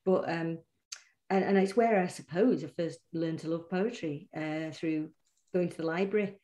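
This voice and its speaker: A woman's voice: on the low side, normal speed, dark tone. A middle-aged woman with a Mancunian accent, a poet chatting in an interview with many restarts and fillers. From Manchester, England. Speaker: poet and guest. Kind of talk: casual interview chat.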